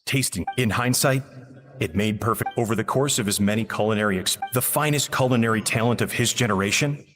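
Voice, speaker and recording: A man as a low-voiced regretful adventurer, long reverb. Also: a bit bright, lightly acted. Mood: somber. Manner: somber, measured, sighing. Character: melancholy, regretful male former adventurer, guilt-ridden and resolute. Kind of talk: video game monologue, reading of a journal. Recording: long reverberant echo, music underneath.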